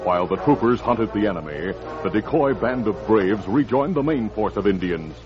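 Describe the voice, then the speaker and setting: A man's voice: fairly low pitch, lively intonation, moderate pace. A man narrating a western adventure in a dramatic, scripted 1940s radio style. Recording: muffled old radio recording with music.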